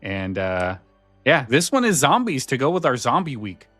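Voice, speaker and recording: A man's voice: medium pitch, very animated, normal speed, a bit bright, no echo, soft background music. A man chatting casually as a podcast host, rambling and a little hesitant. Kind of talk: casual chat.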